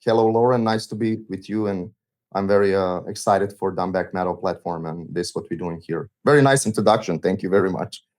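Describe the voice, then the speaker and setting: Mellow, low-voiced Serbian man, reflective. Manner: halting, philosophical. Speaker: podcast guest, musician. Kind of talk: reflective interview talk.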